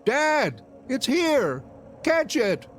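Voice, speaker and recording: An anxious, excitable young boy, done by a grown man, urgent and pleading. The voice is pushed high and jumps around in pitch, over faint music. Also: drawn-out words, full character voice. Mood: frantic. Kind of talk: dramatic reading of a visual novel.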